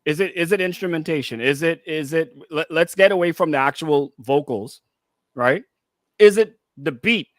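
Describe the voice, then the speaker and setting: Male radio co-host. High-pitched, casual, halting as he restates points, in a dry studio. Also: fairly bright tone, no room sound. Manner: halting, repeats and rephrases his points. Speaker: radio talk show co-host.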